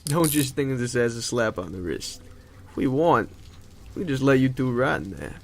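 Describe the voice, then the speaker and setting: A man acts as a callous henchman in an audio play. His mid-pitched voice is gravelly and creaky, with a menacing manner. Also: expressive, normal speed, a little hiss or hum. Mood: threatening. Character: threatening male henchman, hard and callous. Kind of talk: audio drama acting.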